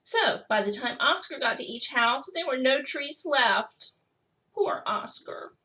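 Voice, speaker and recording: A woman's voice: high voice, lively intonation, slow, sharp tone, a little hiss or hum, low-quality recording. A woman reading a children's picture book aloud expressively, stretching out key words for emphasis. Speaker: school technology coordinator reading to children.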